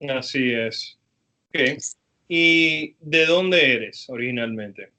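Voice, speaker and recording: A man, a professor, interviewing a guest attorney for his students in an easygoing way, with frequent pauses and fillers. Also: medium pitch, bright, forceful tone, low-quality recording. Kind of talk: class interview over video.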